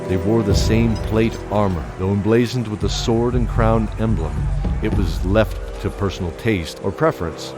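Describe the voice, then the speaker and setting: A man's voice: on the low side, moderate pace. A man narrating a scripted fantasy audio drama with dramatic storytelling. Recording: prominent music bed behind voice.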